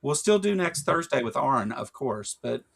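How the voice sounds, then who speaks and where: A man with a Kentucky accent, his voice carrying a gravelly rasp. He rambles through a casual livestream chat, padding his talk with fillers and dry jokes. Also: medium pitch, big pitch swings, moderate pace. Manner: rambling, filler-heavy, joking. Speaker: podcast co-host.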